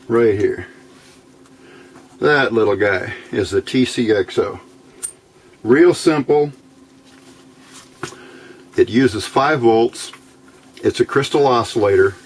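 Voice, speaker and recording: A man presenting an informal hands-on electronics build, talking in a folksy, plainspoken way as he thinks aloud. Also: lowish voice.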